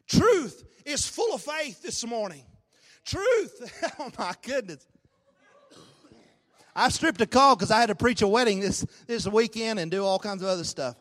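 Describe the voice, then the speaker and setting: A man preaching a sermon with a hoarse, raspy voice, swinging between low talk and shouted, sung-out peaks. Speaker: pastor. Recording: long hall reverb.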